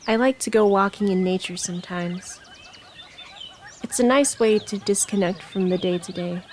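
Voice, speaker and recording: Woman, mid-pitched voice, reflective poetry reading, contemplative, measured phrasing, faint nature ambience with birds. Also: darkish tone, slight background noise. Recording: noticeable outdoor ambience with birdsong.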